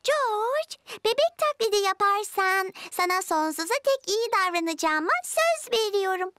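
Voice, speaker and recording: A performer voicing a bossy, excitable little girl piglet in a cartoon, childlike and expressive. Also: very high-pitched, unhurried, slight background noise, full character voice. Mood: eager.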